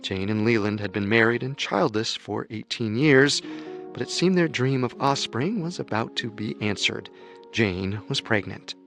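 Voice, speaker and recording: A man co-hosting a historical radio drama, giving calm, deliberate scripted narration. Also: medium pitch, creaky, music in the background. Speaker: narrator and co-host.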